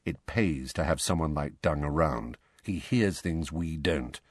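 A man's voice: a bass voice, unhurried, slightly rough, dry recording, clean studio sound, voice pushed down, heavily acted. A man voicing a brooding, roguish godfather in an audiobook, speaking. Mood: muttering, confiding. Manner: muttered undertone.

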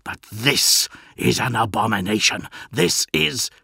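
A man performs a hulking armoured captain of a zealous warrior order, in an audiobook style. He growls and snarls with stern, commanding fury, his rough voice swinging widely in pitch over a very dry recording. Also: lively intonation, normal speed, bright, forceful tone, no room sound, exaggerated voice. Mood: furious.

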